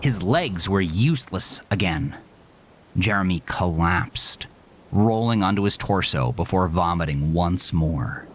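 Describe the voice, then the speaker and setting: A man's voice: low voice, slight background noise. A man narrating a horror story in an intense, grave, dramatic way. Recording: loud horror score with rain behind.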